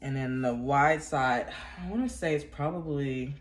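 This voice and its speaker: A woman's voice: very deep voice, a little slow. A woman with a stuffy, congested voice chatting casually from home to her viewers about crochet, rambling with plenty of fillers and restarts. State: congested from a cold. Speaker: yarn crafting vlogger. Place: at home indoors.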